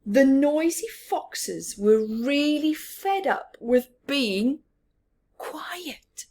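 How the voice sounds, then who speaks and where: A woman reading a picture book aloud to young children, expressively, drawing out words and dropping into hushed tones. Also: high-pitched, expressive. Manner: drawn-out words, drops into hushed whispers. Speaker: children's storyteller.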